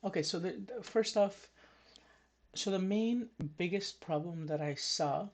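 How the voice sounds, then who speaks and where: A woman's voice: medium pitch, a little slow, a little mellow. A woman from Canada coaching a trader one on one over a video call, chatty and frank. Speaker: trading coach.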